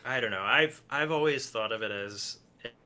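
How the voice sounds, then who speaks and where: A man talking casually as an interview guest, thinking out loud in a halting, rambling way with repeated false starts. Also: mid-range voice. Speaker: guest musician and film and TV composer.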